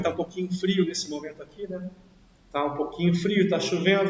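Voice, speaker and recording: A man with a Rio de Janeiro accent teaches a logic class. He talks in a lively way, asks the class questions and answers them himself. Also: high-pitched. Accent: Rio de Janeiro Portuguese. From Brazil. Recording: echoey classroom, distant dull mic.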